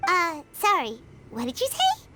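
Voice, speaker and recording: A woman's voice: very high-pitched, huge pitch swings, a little slow, noisy background, full character voice. A woman voicing a tiny, childlike fairy companion in a video game, cheeky and eager, with an exclamatory way of talking. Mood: puzzled. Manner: speaks of self in third person, exclamatory. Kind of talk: video game character voice acting.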